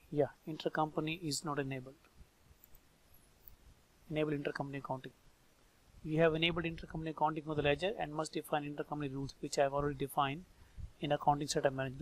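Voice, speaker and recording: A man with an Indian accent narrating a step-by-step software tutorial, thinking aloud informally in short phrases. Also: on the high side, vocal fry, low-quality recording. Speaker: software trainer. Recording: background noise with mouse clicks. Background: noise and clicking.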